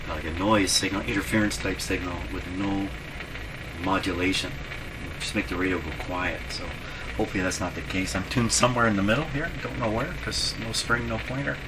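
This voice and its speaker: A man hosting a radio repair demonstration, talking casually and haltingly, often muttering to himself as he works. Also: sits low. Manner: muttering to himself while working, halting, repetitive. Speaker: host of a radio repair video. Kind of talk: casual hands-on demonstration commentary. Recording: noisy, with clear background noise. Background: ambient noise from radio being tested.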